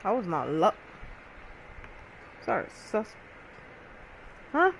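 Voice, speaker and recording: A woman's voice: mid-range voice, sing-song, slow, fairly bright tone, poor audio. A woman giving brief, casual reactions as she plays a video game. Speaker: gaming streamer. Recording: home mic with clear background noise.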